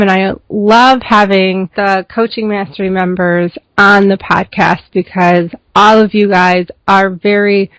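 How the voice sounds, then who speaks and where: A woman hosting an interview podcast, introducing her guest and asking questions in a measured, thoughtful way. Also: medium pitch, dry recording, poor audio. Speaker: podcast host.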